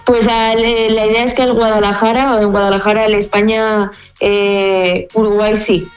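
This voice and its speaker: A woman's voice: mid-range voice, flat delivery, moderate pace, faint music behind. A woman sports journalist from Spain whose Spanish accent has a Mexican tinge, chatting casually with many fillers and restarts. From Spain (Gijón). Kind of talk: casual phone-in chat.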